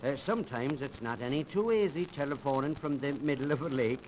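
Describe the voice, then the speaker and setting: An actor playing a folksy, cheerful airfield dispatcher with colloquial, reassuring talk in a 1930s radio drama. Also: male voice, medium pitch, fairly quick, a little mellow, natural voice. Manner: colloquial, reassuring, chuckling, hollering. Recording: noisy old 1930s radio recording.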